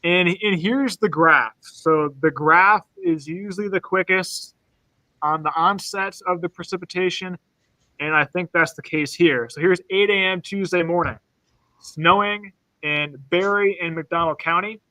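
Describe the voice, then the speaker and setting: A high, bright-toned man walks through model maps, sometimes restarting his sentences. His voice is dry and close to the mic, with no room sound. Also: unhurried, no room sound, low-quality recording. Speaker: weather co-host. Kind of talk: explaining forecast maps.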